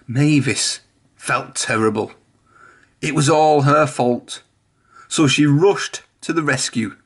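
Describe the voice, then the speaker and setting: A man's voice: medium pitch, slow pace, slightly rough. A man reading a children's storybook aloud, narrating expressively. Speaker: storybook reader.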